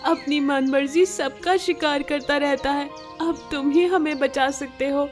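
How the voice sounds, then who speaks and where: A woman's voice: sits high, fairly even intonation, a little slow, faint music behind. A woman voicing a frightened, pleading small forest animal in a children's story. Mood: worried, pleading.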